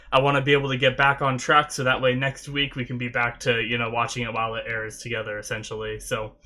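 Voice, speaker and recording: A man's voice: medium pitch, bright, forceful tone. A young man hosting an anime reaction, rambling casually with many fillers while still sniffly and recovering from a sore throat.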